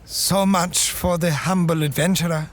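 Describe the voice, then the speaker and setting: An actor playing a proud, wary male ally in an audio drama, speaking in a measured, formal way. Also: a little high, steady tone, lightly acted. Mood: bargaining.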